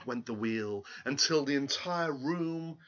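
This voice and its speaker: A man telling a fairy tale aloud in a theatrical, deliberate, expressive way. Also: mid-range voice, muffled recording. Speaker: storyteller.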